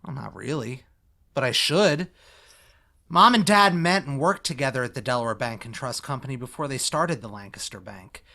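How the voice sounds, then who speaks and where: A man's voice: medium pitch, animated, normal speed, pushed and bright. A man playing an idealistic, noncommittal young man, casual and hedging, in a musical theatre read-through. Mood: explaining, sheepish. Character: Bobby, idealistic young man, evasive about commitment. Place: recorded remotely online.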